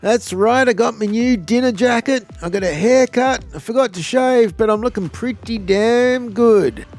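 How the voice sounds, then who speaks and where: A man's voice: high voice, a little mellow. An Australian man narrating a gameplay tutorial as the video's host, with a jokey, blokey, informal way of talking.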